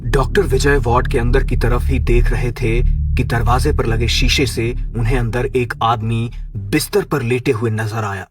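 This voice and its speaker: A man reading a scripted horror story as narrator, with music behind him. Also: medium pitch. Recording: music under the voice. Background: background music.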